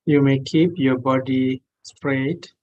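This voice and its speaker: Male meditation teacher, non-native English, very slow delivery, mid-pitched, gentle phrases with long pauses. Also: fairly even intonation, dull audio. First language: not English. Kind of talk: guided meditation instruction.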